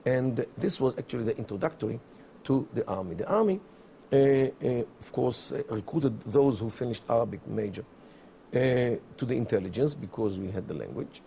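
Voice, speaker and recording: Middle-aged Israeli man, English, gravelly, slow and measured, faint hiss behind. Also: mid-range voice, expressive, warm tone. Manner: deliberate, with many pauses and fillers. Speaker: interview guest, academic. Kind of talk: measured explanatory interview answers.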